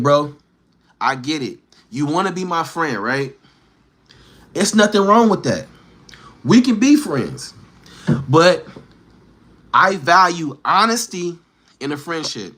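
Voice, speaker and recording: A man's voice: fairly high pitch, animated, takes it slowly, long pauses, pushed and bright. A man livestreaming, bantering with and teasing his chat in slangy street talk, firing challenging questions.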